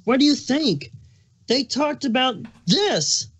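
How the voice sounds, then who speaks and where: Moody pregnant changeling creature, played by a man: high-pitched, irritable, sarcastic cartoon diva with a huge appetite. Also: big pitch swings, slow, husky, heavily acted. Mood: weary, exasperated. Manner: snappish, touchy, sarcastic. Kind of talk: cartoon fan fiction dramatic reading.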